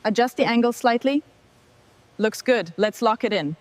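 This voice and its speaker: A woman's voice: on the high side, moderate pace, slight background noise. A woman giving short, scripted work instructions as part of a restoration crew. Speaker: workshop crew member directing truck restoration.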